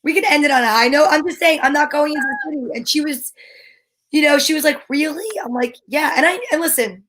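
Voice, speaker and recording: A woman's voice: high-pitched, animated, brisk, fairly bright tone, slight background noise. A woman co-host in casual, rambling, joking conversation on a livestream. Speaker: show co-host. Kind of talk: casual chat.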